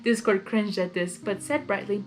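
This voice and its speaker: A woman's voice: mid-range voice, steady tone, moderate pace, soft background music. A woman reading a story aloud expressively, with informal, reactive asides. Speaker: story reader. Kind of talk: expressive fan fiction read-aloud with chatty asides.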